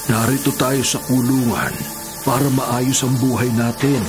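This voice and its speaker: An actor playing a devout, patient elderly prisoner in a radio drama, with a Filipino accent and an earnest, preachy, measured way of talking. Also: male voice, mid-range voice, little pitch movement, over music, lightly acted. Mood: earnest, pleading. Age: older. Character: kindly, patient, devout elderly male prisoner giving counsel.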